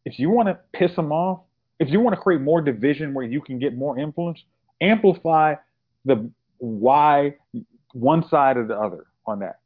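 A man's voice: fairly high pitch, expressive, moderate pace. Man on a tinny phone-like line. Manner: rambling, restarts, explaining at length. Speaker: podcast co-host. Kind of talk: casual podcast chat.

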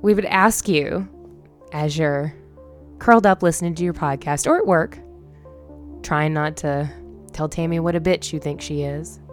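A woman co-hosting a podcast in casual, informal chat with some swearing. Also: low-pitched. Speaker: podcast co-host.

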